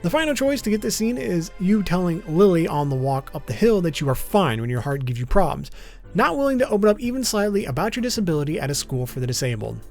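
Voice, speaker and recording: A man's voice: sits high, sing-song, quick pace, gravelly, darkish tone. A man narrating informal scripted video commentary in a casual way, with wry asides. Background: background music.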